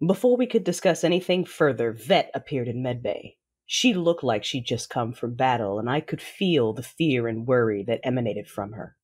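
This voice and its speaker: A woman playing a fierce, grieving warrior mother who narrates her own story in a measured, dramatic audio drama style. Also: a bass voice, expressive, a little mellow, slightly rough, exaggerated voice. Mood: solemn, controlled. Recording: voice over a music bed.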